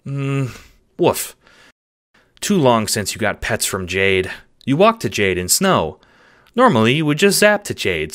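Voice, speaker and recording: Man playing an excitable, simple-minded dog, swooping pitch. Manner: broken baby-talk sentences, thinking aloud with barks.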